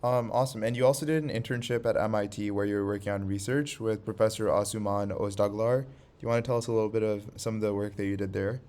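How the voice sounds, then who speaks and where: A man hosts a podcast interview, asking long, casual questions and often murmuring along while his guest speaks. His voice sits low. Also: steady tone, quick pace, darkish tone. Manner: frequent backchannel listening sounds. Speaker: podcast host.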